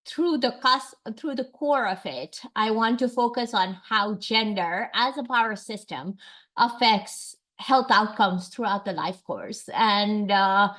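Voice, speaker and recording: A woman's voice: medium pitch, slow pace, fairly bright tone. A woman from Tanzania speaking earnestly and reflectively at a faculty seminar. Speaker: faculty researcher. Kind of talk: seminar talk. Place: seminar room.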